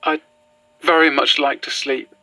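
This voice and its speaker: A worn-out young woman in a found-footage horror drama. Her voice is bright and very dry, with halting, stammered phrasing. She is shaken but stubborn and bitter underneath. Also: low voice, fairly even intonation, dry recording, rough recording. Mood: weary, shaken. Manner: hesitant, stammering, sighing.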